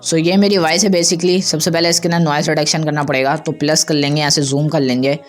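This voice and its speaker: A man hosting a casual, step-by-step software tutorial. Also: a little high, level tone, quick pace, faint music behind. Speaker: tutorial host.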